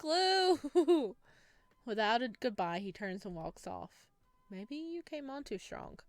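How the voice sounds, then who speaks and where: A woman reading a visual novel game aloud in a casual style, breaking into playful, expressive reactions. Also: medium pitch, no room sound.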